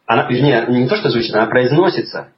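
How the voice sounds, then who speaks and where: A man talking in a relaxed conversation, thoughtful and hesitant, with many restarts as he explains things. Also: medium pitch, fast, crowd noise. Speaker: guest. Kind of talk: casual conversation. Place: lives in England. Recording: slightly dull lo-fi audio with faint noise.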